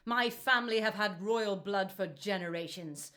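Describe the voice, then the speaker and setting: A woman's voice: mid-range voice, normal speed, bright, forceful tone, lightly acted. An actress playing a haughty, vindictive queen in a biblical radio drama, speaking with imperious scorn. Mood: scornful, threatening.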